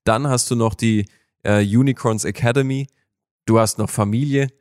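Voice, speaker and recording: A man's voice: mid-range voice, fairly even intonation, moderate pace, darkish tone, dry recording, clean studio sound. A man hosting a podcast interview, asking questions and giving short encouraging replies. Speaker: podcast host.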